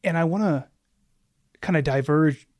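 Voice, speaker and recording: A man's voice: a little high, measured pace, darkish tone, no room sound, studio quality. A man interviewing a guest, asking short questions. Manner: brief backchannels and questions.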